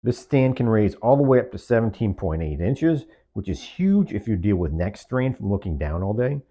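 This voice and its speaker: A man's voice: fairly low pitch, big pitch swings, moderate pace, warm tone. A man giving a semi-scripted product review, explaining features step by step in short phrases.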